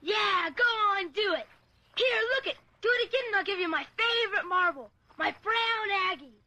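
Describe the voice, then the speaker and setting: A woman's voice: very high-pitched, expressive, normal speed, sharp tone, full character voice. A woman playing a cheeky, curious young American kid in an audio drama, eager and slangy. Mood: eager, impressed. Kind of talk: dramatic radio-play acting.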